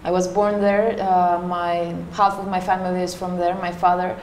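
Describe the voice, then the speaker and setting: A young woman from Panama answering interview questions casually, pausing often with fillers. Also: lowish voice, little pitch movement, a little mellow. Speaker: interview guest. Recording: echoey room with faint noise.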